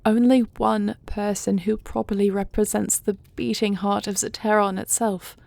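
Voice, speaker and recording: Woman voicing regal dragon queen, audio roleplay, tender, earnest, formal measured diction, gravelly fry, dry sound. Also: medium pitch, flat delivery, a little mellow, dry recording, close to an everyday voice. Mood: reassuring, stately. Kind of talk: scripted audio roleplay drama.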